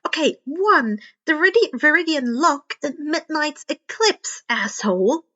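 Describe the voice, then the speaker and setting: Woman doing cocky teen boy, storybook vigilante, raised pitch, casual, flippant, kind, lo-fi sound. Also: expressive, measured pace, fairly bright tone. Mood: scoffing, defensive. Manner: flippant, matter-of-fact, casual. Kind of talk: character voice in a read-aloud story. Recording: dull, muffled lo-fi home recording.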